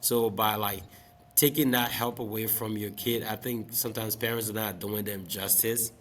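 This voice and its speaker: A man in his late twenties from Liberia, speaking as an interview guest. He talks about teaching in a relaxed, reflective way, with many fillers. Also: fairly low pitch, moderate pace, slight background noise. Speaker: guest, special education math teacher. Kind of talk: casual interview talk.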